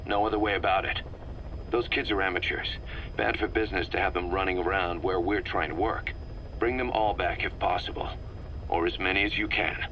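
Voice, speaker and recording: A male actor voicing a stern, pragmatic military subcommander in a video game, speaking in a brisk, matter-of-fact, clipped way on a taped briefing. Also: deep voice, fairly even intonation, bright, forceful tone, low-quality recording. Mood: firm, instructive. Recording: cassette recording with background noise.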